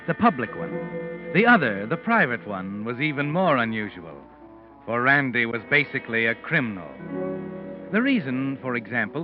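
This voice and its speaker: Actor as a sinister old-time radio mystery narrator, swooping delivery. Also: male voice, medium pitch, lightly acted. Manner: ominous, theatrical, knowing. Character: sinister all-knowing male night-walking mystery narrator. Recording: dull old radio recording, organ music.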